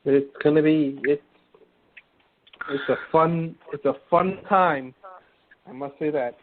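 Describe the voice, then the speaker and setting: A man's voice: fairly high pitch, takes it slowly. A man co-hosting a casual sports podcast, joking and loose, often trailing off and restarting. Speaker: podcast co-host. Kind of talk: casual sports call-in chat. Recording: tinny phone-like line with faint hiss.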